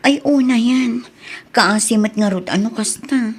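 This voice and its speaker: A coy, chatty village woman, played by a woman in an audio drama. Her voice is fairly high with a husky, breathy edge, and she talks in a teasing, flirty way. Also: measured pace.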